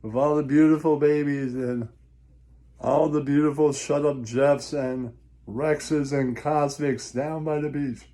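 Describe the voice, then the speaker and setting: A man's voice: fairly high pitch, fairly even intonation, a little slow, mellow. A man rambling through a crude comic monologue, mocking and repetitive, often leaning on catchphrases as a show host. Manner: crude, mocking, repetitive, laughs at own jokes.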